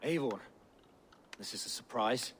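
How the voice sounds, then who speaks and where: A man's voice: medium pitch, lively intonation, measured pace, lightly acted. A fervent Viking mystic played by a man in a video game, earnest yet evasive. His mid-range voice has a portentous, fatalistic weight. Faint noise and ambience sit behind it. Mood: fervent, mystical. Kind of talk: video game dialogue acting.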